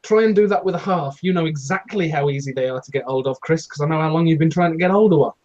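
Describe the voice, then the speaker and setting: British man, higher-pitched voice, dull recording. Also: fast, darkish tone. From United Kingdom. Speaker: co-host of a vaping talk show. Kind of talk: casual panel chat.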